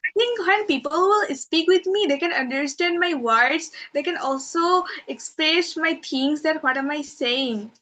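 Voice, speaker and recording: A woman from Bangladesh, a podcast guest, giving earnest, long run-on answers with soft fillers. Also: sits high, measured pace. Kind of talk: interview answers.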